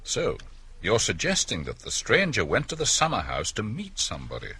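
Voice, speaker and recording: An actor playing a puzzled English village doctor in a radio drama, husky and questioning in a conversational way. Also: male voice, on the low side, huge pitch swings, moderate pace, bright, forceful tone, exaggerated voice.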